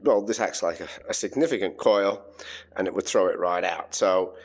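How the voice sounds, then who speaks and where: A man's voice: low voice, normal speed. An Australian man giving a casual, chatty hands-on tech demonstration, thinking aloud as he handles his gear. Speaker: amateur radio hobbyist presenting a demonstration.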